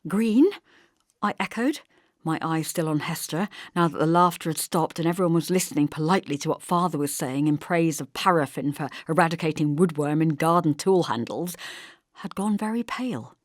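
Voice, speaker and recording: A woman's voice: sits low, a little fast, no room sound, clean studio sound. A woman narrating a novel as an audiobook, reading expressively from a script.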